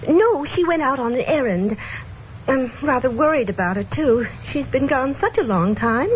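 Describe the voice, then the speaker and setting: A high, wavering-in-pitch old lady, frail, anxious and muddled, speaks hesitantly. It is vintage radio drama with muffled sound and light noise. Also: animated, moderate pace. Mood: confused, anxious. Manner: hesitant, fluttery. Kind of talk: old-time radio acting.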